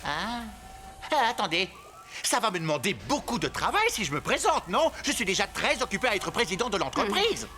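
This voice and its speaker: A man's voice: high-pitched, sing-song, a little fast, bright, forceful tone, heavily acted. An actor playing a vain, dim male villain with a pompous, hesitant manner in a French-dubbed cartoon. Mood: hesitant, put-upon. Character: vain, dim male villain made company president, would-be mayor.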